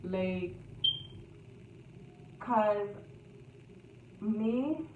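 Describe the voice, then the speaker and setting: A woman's voice: medium pitch, lively intonation. A young woman telling a casual storytime vlog in a chatty, repetitive way, laughing at herself now and then. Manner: slow, chatty, repeats phrases, laughs at herself. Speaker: YouTuber. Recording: echoey, distant, phone-like, faint hiss.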